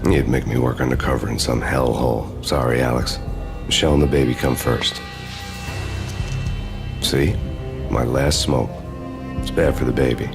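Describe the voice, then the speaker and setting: A world-weary New York cop from a noir game. His voice is extremely low and brooding, with an American accent and a deliberate, deadpan delivery. Music plays under him. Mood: wry, easygoing. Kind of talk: noir game narration and cutscene acting.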